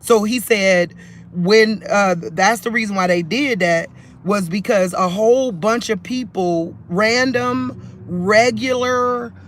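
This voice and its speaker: A man's voice: high voice, a bit bright, a little hiss or hum. A man vlogging about faith into his phone, talking loosely and conversationally, restarting sentences and leaning on fillers. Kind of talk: rambling religious vlog to camera.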